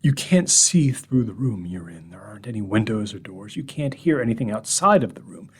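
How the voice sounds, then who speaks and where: A husky, breathy drunk madman, read by a man, leans in close to his listeners. He drops into near-whispers, then jumps up sharply with a mocking edge. His voice sits at an ordinary middle pitch beneath all that hushed rasp. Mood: confiding. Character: unhinged drunk man confessing to strangers. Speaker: horror story reader. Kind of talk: first-person horror monologue reading.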